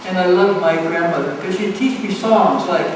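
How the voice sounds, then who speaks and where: Elderly woman, halting, in a very echoey room. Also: on the low side. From United States. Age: 90s. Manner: halting, repeats phrases. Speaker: speaker at a family art show. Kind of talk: personal reminiscence to an audience. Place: community center event room. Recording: echoey, noisy room, distant microphone.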